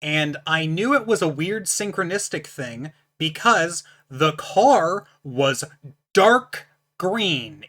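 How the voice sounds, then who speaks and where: A host speaking straight to the viewer with a powerful, distinct voice and a bright, sharp edge to its tone. The delivery is casual but emphatic, setting out firm rules in a blunt, lecturing way. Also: mid-range voice. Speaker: channel host reviewing a book. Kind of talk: casual explanatory talk to camera.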